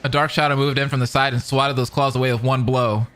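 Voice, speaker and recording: A man reading narration dramatically and adding excited commentary as a reaction streamer. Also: medium pitch, fast talker. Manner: animated, dramatic. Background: faint music.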